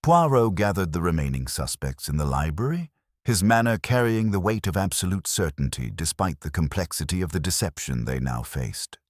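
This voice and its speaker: A man reading a scripted mystery story as narrator, in a measured, even-paced way. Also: deep voice, no echo, studio quality.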